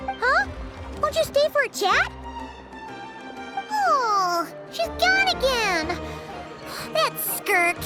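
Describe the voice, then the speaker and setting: A woman's voice: big pitch swings, extremely slow, long pauses, over music, exaggerated voice. A woman voicing a small, chirpy, inquisitive fairy companion in a video game. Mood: puzzled. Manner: squeaky, speaks of self in third person. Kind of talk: animated video game voice acting.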